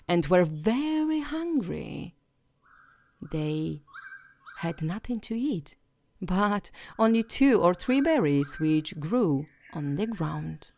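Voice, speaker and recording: A woman narrating a bedtime fairy tale as a storyteller, in a gentle, soothing and expressive way. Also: on the low side, creaky.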